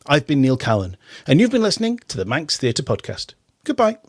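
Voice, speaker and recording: Middle aged guy hosting a podcast, talks quick, chatty, stumbles and repeats words. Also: fairly high pitch, wildly swooping pitch. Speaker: podcast host. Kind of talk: casual radio interview chat.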